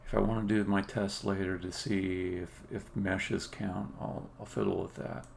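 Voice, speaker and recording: A man thinking aloud on a live-coding stream, muttering to himself in a halting way and often trailing off. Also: low-pitched, flat delivery, vocal fry, a little mellow. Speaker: indie game developer streaming. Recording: faint background noise, keyboard clicks. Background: computer keyboard typing and clicking.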